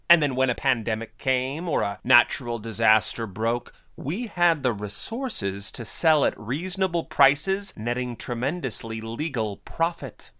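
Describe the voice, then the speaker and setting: An actor playing a proud, aggrieved court financier, pompous and self-justifying in formal theatrical diction. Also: male voice, medium pitch. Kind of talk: theatrical audio drama monologue. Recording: loud music behind the voice. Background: plucked guitar music.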